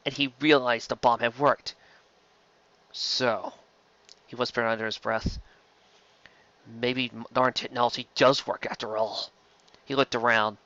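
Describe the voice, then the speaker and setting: Male narrator for dramatic sci-fi fiction: bright, intense delivery, mid-pitched, slightly dull recording. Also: no room sound. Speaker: story reader.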